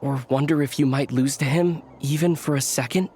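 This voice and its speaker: A man voicing a calm young male adventurer who speaks politely and evenly in a video game. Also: medium pitch, soft background music, close to an everyday voice. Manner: polite and measured.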